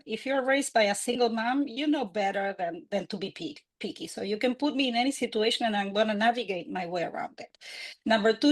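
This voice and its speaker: Argentine-born woman coach, earnest. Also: on the high side. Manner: earnest, builds points with examples. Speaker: guest, co-author and leadership coach. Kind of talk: podcast interview conversation.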